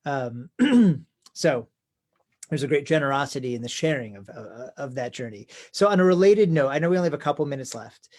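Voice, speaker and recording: A man's voice: mid-range voice, animated, a little fast, darkish tone. A man in his forties, a rabbi interviewing a colleague, talking thoughtfully and often restarting his sentences. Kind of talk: conversational interview.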